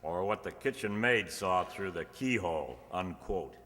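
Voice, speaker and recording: A man's voice: deep voice, measured pace, a bit bright. A man playing a sardonic, indignant magazine writer in an old radio-play style. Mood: sardonic, defiant. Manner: sardonic, protesting. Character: cynical, indignant young male magazine writer. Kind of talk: 1940s radio acting. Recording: echoey hall with long reverb.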